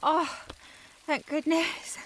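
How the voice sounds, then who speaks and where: A woman plays a young shop girl in a historical audio drama. Her voice is high and girlish, earnest and eager to please. There is faint noise behind her. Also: little pitch movement, very slow, fairly bright tone, exaggerated voice. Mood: eager, polite.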